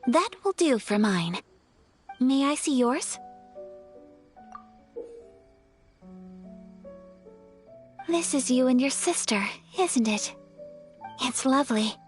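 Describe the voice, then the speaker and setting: High, gentle, refined young noblewoman. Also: expressive, a little slow. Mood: polite, gentle. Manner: soft, polite, formal. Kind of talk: video game character acting. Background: music.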